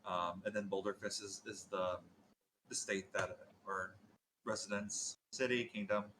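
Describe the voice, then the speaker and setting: A man chats in character during a tabletop role-playing game. He rambles and halts, and keeps contradicting himself. His voice sounds bright and forceful, with a faint hiss of noise behind it. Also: fairly low pitch, flat delivery, rough recording. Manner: rambling, halting, self-contradicting. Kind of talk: in-character casual chat.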